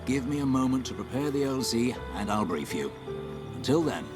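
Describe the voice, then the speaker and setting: A man's voice: mid-range voice, big pitch swings, moderate pace, natural voice. An actor playing a grave military man giving a clipped, matter-of-fact briefing in a video game. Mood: grave, businesslike. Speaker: mission briefer. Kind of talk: video game dramatic dialogue. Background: music.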